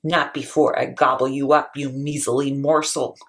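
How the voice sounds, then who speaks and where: Storybook troll, male, hungry and menacing, booming; woman reader doing the voice. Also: level tone, moderate pace, a little hiss or hum. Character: hungry grumbling male troll under a bridge.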